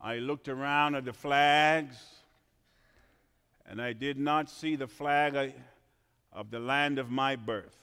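A man from Trinidad preaching a sermon in a church, swinging from quiet asides to shouted emphasis. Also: mid-range voice, steady tone, slow, long pauses, clear, resonant tone. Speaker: pastor. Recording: reverberant church hall.

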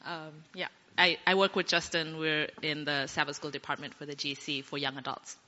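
A woman's voice: sits low, steady tone, measured pace, sharp tone. A woman from Zimbabwe who works in youth ministry, introducing herself in a light, good-humored way. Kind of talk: panel self-introduction. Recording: dull sound with long hall reverb.

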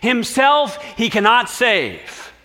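A man's voice: very high voice, sing-song, takes it slowly, bright, forceful tone, big-room sound, pitched above the performer's own voice, heavily acted. A man reading aloud, voicing gloating elder priests who sneer and mock. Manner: sneering, mocking.

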